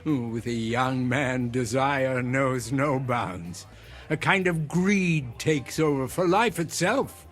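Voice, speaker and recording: An actor playing a sinister, genteel older man in a radio drama, speaking in measured, savoring, ornate phrases. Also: medium pitch, lively intonation, fairly bright tone, soft background music. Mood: smooth, knowing.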